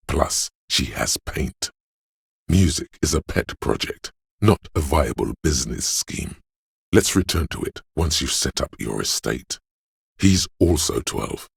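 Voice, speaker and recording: A suave cocky money-mad hustler in a video game, super raspy, bone dry close sound, theatrical. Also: male voice, no echo, exaggerated voice. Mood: smug, scornful. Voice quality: gravelly, husky bass. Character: swaggering, money-obsessed suave inner voice, a slick hustler. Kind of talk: video game voice acting, dramatic narration.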